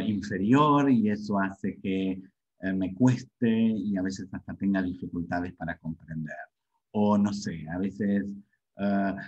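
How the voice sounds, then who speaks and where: A man's voice: fairly low pitch, darkish tone, slightly rough. A middle-aged man with an Argentine Spanish accent, giving an informal explanatory webinar lecture as a language teacher, often checking in with short tag questions. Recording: dull, muffled webinar audio.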